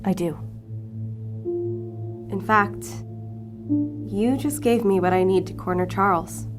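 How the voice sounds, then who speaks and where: Shrewd female agent played by a woman, mid-range voice, controlled and relentless, audio drama with clear music bed. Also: mid-range voice, slow, natural voice. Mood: firm, reassuring. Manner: controlled, needling, firm. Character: cool, shrewd female investigator, bluffing and relentless. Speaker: law enforcement agent. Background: music under the voice.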